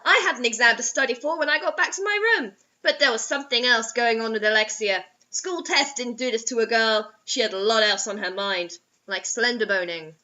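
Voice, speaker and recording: A woman's voice: sits high, bright, forceful tone, lo-fi sound. A woman reading fan fiction aloud for comedy, breaking off into sarcastic, mock-dramatic asides.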